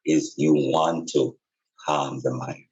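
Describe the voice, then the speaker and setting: A man with a very low voice speaks slowly and emphatically, like a teacher in an informal talk. He repeats phrases to stress his points. The recording is a little dull and lo-fi. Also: level tone, darkish tone. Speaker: podcast speaker and spiritual teacher. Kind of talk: informal explanatory talk on spirituality and healing.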